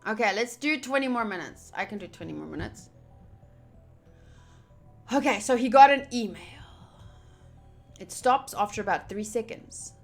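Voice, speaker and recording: A woman's voice: medium pitch, animated, moderate pace, creaky, pauses often. A woman streamer chatting to viewers and reading game text aloud as live commentary. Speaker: streamer playing a visual novel. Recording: game music behind the voice.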